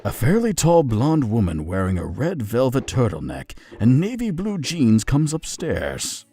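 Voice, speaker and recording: Husky, lively male storyteller. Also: mid-range voice, a little slow. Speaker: narrator. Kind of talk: storybook narration.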